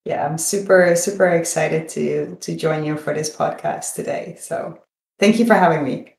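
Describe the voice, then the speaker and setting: A woman's voice: low-pitched, steady tone, normal speed, gravelly, darkish tone. A middle-aged woman, chatting as a podcast guest and often repeating words as she goes. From Netherlands. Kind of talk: conversational interview answers. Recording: roomy, echoing sound.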